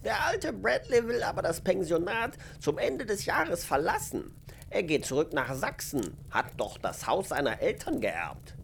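An actor playing a kindly, jovial old gardener in a radio play, with a creaky, aged voice and a gently teasing, avuncular manner. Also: male voice, high-pitched, big pitch swings, a little slow, a little hiss or hum, exaggerated voice. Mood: friendly, explaining. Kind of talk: German radio-play acting.

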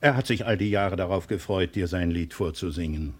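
An actor playing a simple, pious country neighbour, matter-of-fact and mildly reproachful, in a German radio play. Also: male voice, sits low, a little fast, breathy edge. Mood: grave, resigned. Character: plain-spoken, pious rustic neighbour, Ola.

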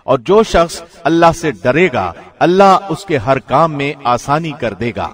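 A man reading a scripture translation from a script, with measured, formal diction like a radio presenter. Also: fairly high pitch, animated. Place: studio.

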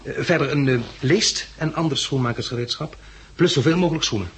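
An actor in a 1960s Dutch radio drama playing a practical, grimly realistic male survivor, matter-of-fact and thinking aloud with hesitant pauses. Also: on the high side, slight background noise, lightly acted. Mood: businesslike. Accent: Dutch, from the Netherlands.

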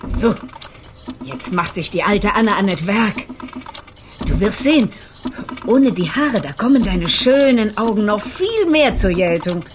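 A woman's voice: medium pitch, animated, unhurried, noisy background, natural voice. Folksy old Rhineland woman, played by an actress. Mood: brisk, comforting. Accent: Rhineland German. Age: older. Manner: folksy, down-to-earth. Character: old German woman, blunt, motherly, practical. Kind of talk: radio play dialogue.

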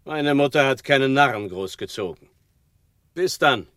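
An actor playing a droll, laconic goat-keeping caretaker with deadpan delivery in a radio play. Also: male voice, medium pitch, unhurried, dry recording, clean studio sound. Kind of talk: radio drama dialogue.

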